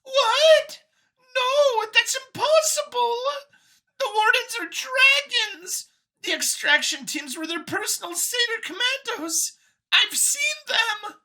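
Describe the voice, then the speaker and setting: A woman's voice: very high-pitched, lively intonation, slow pace, pushed and bright, voice pushed up, full character voice, rough recording. A woman voicing a nervous, earnest female alien mouse scientist in an audiobook, with a flustered, eager way of talking. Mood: alarmed disbelief. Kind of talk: acted audiobook character.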